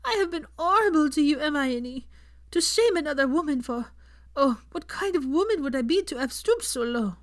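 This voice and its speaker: A woman voicing a guilt-ridden, earnest young married woman in an audiobook story, speaking in halting, formal phrases. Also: high voice, expressive, no echo, studio quality, pitched above the performer's own voice. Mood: distraught, remorseful. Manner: halting, formal phrasing without contractions, breaks off mid-sentence.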